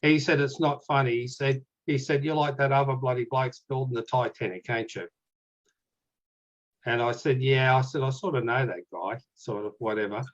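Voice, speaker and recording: A man with an Australian accent, a guest author talking in a casual, rambling way and clearing his throat now and then. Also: medium pitch, steady tone, normal speed, muffled recording. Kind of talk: casual conversation.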